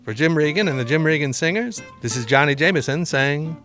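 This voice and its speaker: A man's voice: on the high side, animated, a little fast, fairly bright tone, soft background music. A man as announcer reading a mock-serious scripted sign-off.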